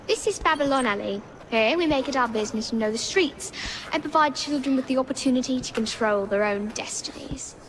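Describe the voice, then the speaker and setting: Child urchin leader for a game: English, high-pitched, poised, brisk, shrewd. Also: female voice, a bit bright, slight background noise, poor audio. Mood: poised, earnest. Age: child character. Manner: crisp, businesslike, precocious. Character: shrewd, self-possessed young girl, leader of urchins. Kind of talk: video game cutscene acting.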